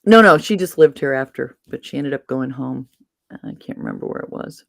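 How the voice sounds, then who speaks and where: A woman's voice: very deep voice, animated, brisk, vocal fry, dry recording, studio quality. A woman from Massachusetts chatting casually as host, rambling and losing her train of thought. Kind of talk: casual chat.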